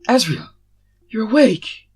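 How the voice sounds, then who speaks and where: Man putting on an excitable, affectionate woman, pitched up. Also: takes it slowly, slightly rough, full character voice. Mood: excited surprise.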